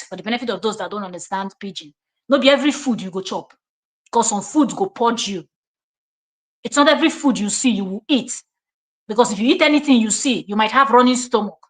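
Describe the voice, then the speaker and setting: A woman with a Nigerian accent giving reaction commentary as host, confrontational and emphatic, repeating her points for stress. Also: medium pitch.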